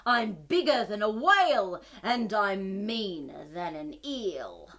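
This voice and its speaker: A woman voicing a mean, boastful male sea monster made of trash in a children's storybook, with a proud, spiteful, drawn-out delivery. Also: medium pitch, very animated, fairly bright tone, pitched below the performer's own voice, full character voice. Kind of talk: children's storybook read-aloud.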